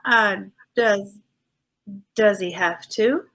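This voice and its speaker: A woman's voice: medium pitch, drawn-out words, a bit bright. A woman playing a teasing, affectionate detective's wife in 1940s radio acting style. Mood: worried. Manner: playful, theatrical.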